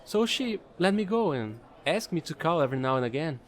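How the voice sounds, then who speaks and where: High-pitched, animated fallen angel played by a man. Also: a little fast. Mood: casual storytelling. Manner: rambling, playful, pleading, casual slangy talk. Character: offbeat fallen angel space trader, non-binary, eager and chatty. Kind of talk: audio roleplay monologue addressed to the listener.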